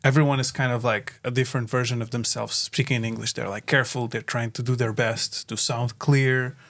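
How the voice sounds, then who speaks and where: A man from Portugal, a native Portuguese speaker, hosting a casual podcast chat in a reflective, halting way. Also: medium pitch, flat delivery, a bit bright. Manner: reflective, halting with frequent fillers. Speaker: podcast host.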